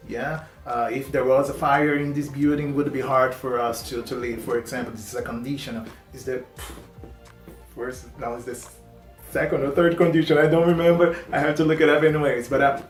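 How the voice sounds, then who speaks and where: Portuguese-accented man, halting, roomy sound. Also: medium pitch. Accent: Portuguese-accented English. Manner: halting, many fillers and restarts. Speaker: host reacting to a video. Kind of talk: casual reaction commentary. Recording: roomy echo, faint music.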